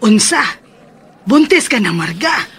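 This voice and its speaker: A woman's voice: mid-range voice, big pitch swings, a little slow, a bit bright, slightly rough, faint music behind, heavily acted. An actress with a Cebuano Filipino accent playing an inquisitive, cautioning older mother in a radio drama. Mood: surprised. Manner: probing, advising.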